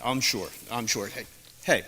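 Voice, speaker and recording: An actor playing a middle-aged drugstore owner and war veteran from the early sixties, folksy and prone to ranting. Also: male voice, medium pitch, measured pace, sharp tone, slight background noise. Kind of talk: student stage play. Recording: reverberant hall.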